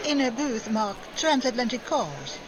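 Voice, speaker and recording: A woman's voice: fairly high pitch, big pitch swings, measured pace, dull audio, a crowd in the background. A woman playing a possessive European femme fatale in a 1940s-style radio drama, imperious and coquettish.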